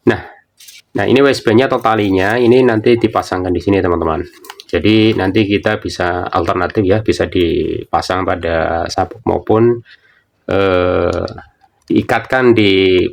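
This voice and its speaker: A deep-voiced man with a creaky edge walks viewers through a product. He explains casually and tends to repeat his points. There is faint noise in the recording. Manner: informal, explanatory, often repeating himself. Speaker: product reviewer. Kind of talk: casual unboxing and product demonstration.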